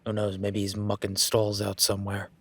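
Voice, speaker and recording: A man's voice: on the low side, completely flat delivery, normal speed, a bit bright. A man voicing an easygoing male cowboy ranch hand in an audio roleplay, talking in a laid-back, folksy way with casual swearing.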